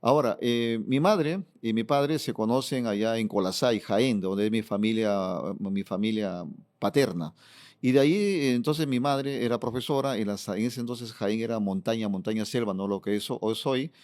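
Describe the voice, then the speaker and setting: A man's voice: on the low side, moderate pace, a little mellow. A middle-aged Peruvian man answering interview questions as a politician, explaining at length with frequent fillers. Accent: Peruvian Spanish. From Peru. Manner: explanatory, fond of "fíjate" and "eh" fillers. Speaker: politician, former regional governor.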